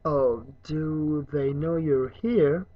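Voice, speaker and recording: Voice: mid-range voice, extremely slow. A reader voicing a polite, awkward young boy wizard in a storybook, with a hesitant, tactful manner. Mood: curious. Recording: muffled, echoey room recording.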